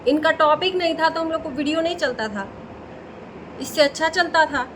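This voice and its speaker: High-pitched woman giving reaction commentary. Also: moderate pace, fairly bright tone, a little hiss or hum. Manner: repeats phrases for emphasis. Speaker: reaction channel host. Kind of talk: casual opinionated reaction commentary.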